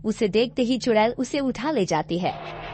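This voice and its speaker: A woman's voice: a little high, animated, normal speed, noisy background. A woman reading scripted story narration as the narrator of a tale.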